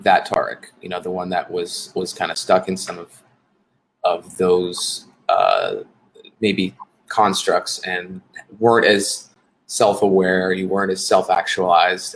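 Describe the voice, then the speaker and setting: A man's voice: sits low, unhurried, creaky, a little hiss or hum, rough recording. A man hosting an interview, listening closely and asking long follow-up questions. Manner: attentive, frequent short backchannels. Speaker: interview host, job coach.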